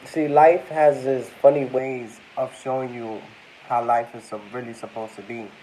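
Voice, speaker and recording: Congested young man, mellow voice, rambling casual vlog talk, faint background hiss. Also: mid-range voice, fairly even intonation, normal speed, poor audio. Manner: rambling, addresses viewers as y'all. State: congested, under the weather. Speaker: vlogger.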